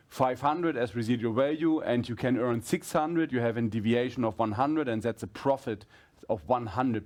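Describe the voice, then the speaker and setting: Mężczyzna, menedżer, tłumaczy z wahaniem. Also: male voice, mid-range voice, a little mellow. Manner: halting, rephrasing as he explains. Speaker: company executive answering analysts. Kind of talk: explanatory earnings-call answers.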